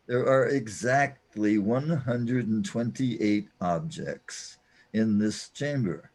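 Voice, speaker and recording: A man's voice: mid-range voice, darkish tone, heavily acted. A man playing a patient, all-knowing companion in a scripted audio drama, explaining the cosmos in a declamatory way. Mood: grandly explaining.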